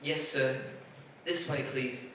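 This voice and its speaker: An actor playing a meek, kindly clerk, polite and deferential, in a 1940s radio drama style. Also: male voice, medium pitch, steady tone, takes it slowly, bright, forceful tone. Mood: eager. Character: meek, kindly, underpaid clerk, eager to please. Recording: echoey stage, distant mic, faint noise.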